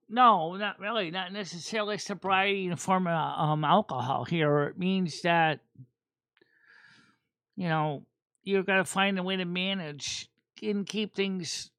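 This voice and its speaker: Man, high-pitched voice, host of a casual chat stream, rambling, halting, dry close sound. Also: bright, forceful tone, clear, resonant tone, no echo. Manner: rambling and halting, with many fillers and restarts. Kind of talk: casual livestream chat about tarot cards.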